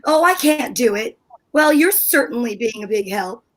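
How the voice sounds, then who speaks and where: A woman's voice: on the high side, expressive, normal speed, fairly bright tone, pitched below the performer's own voice, poor audio. A woman reading the part of a huffy, exasperated wife in an amateur old-time radio play. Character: wife Liz, fussy dramatic housewife.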